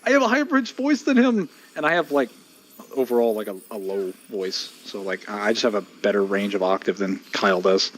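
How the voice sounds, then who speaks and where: A man's voice: on the low side, lively intonation, a little fast, a bit bright, a little hiss or hum, rough recording. A man bantering over a game stream, teasing his friends and arguing his points. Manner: teasing, argumentative. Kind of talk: casual gaming banter.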